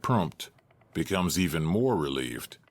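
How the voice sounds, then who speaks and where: A man's voice: a bass voice, sing-song, takes it slowly, no echo. A man narrating a scripted audio drama as its storyteller.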